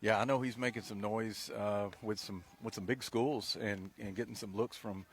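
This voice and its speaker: Color man, deep-ish voice, measured and folksy delivery. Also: fairly even intonation, a little hiss or hum. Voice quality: low voice. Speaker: color commentator. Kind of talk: local radio football commentary.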